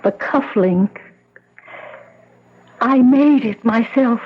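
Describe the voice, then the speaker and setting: An actress playing a frightened, insistent wife in a 1970s radio drama, speaking in a tense, halting, pleading way. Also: female voice, on the high side, slightly rough, full character voice. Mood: shaken, certain. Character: terrified, insistent wife haunted by her dead husband. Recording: muffled, noisy old radio broadcast.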